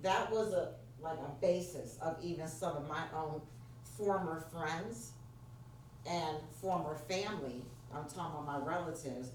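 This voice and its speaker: Deep-voiced New York woman leading a workshop. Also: measured pace, a little mellow. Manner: engaging, prompts the audience directly. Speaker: workshop presenter. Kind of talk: interactive workshop talk. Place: church meeting room. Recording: very echoey room, distant mic, faint noise.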